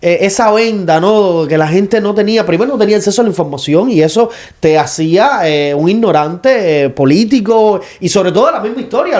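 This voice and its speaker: A young Cuban man speaking Spanish with a Cuban accent, co-hosting a podcast panel debate in long explanatory turns full of "eh" fillers. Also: high voice. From Cuba. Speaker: podcast co-host.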